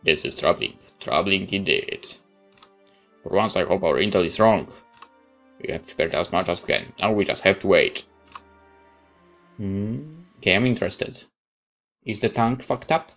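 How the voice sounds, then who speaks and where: A man's voice: low voice, lively intonation, normal speed, pauses often, a bit bright, soft background music. A man reading a visual novel aloud as narration, dropping in casual asides of his own.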